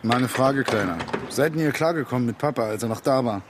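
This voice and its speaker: A man's voice: mid-range voice, brisk, noisy background, natural voice. An actor playing a blunt, lonely and combative working-class man in a radio drama, speaking in short colloquial phrases. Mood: confiding, intense. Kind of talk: naturalistic radio drama dialogue.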